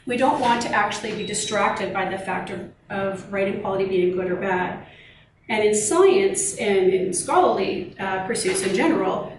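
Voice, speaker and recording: A woman giving a measured, explanatory workshop presentation as a university lecturer, speaking to colleagues. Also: mid-range voice. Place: meeting or seminar room. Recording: echoey room, distant mic, noisy background.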